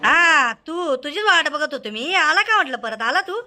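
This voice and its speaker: A man acts out a cartoon tough guy in a comedy, with a very high-pitched voice. He is taunting and emphatic, hammering phrases by saying them again. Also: animated, normal speed, sharp tone, soft background music, exaggerated voice. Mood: angry, threatening. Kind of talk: Marathi comedy cartoon dialogue.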